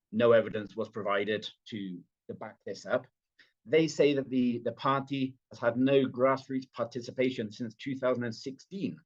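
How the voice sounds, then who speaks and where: A man's voice: medium pitch, highs cut off. A British man with a slight Venezuelan tinge in his accent, talking as a guest on a podcast. He speaks fluently but with many fillers and restarts. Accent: British, with some Venezuelan influence. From Britain. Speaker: guest, party official. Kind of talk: remote podcast interview.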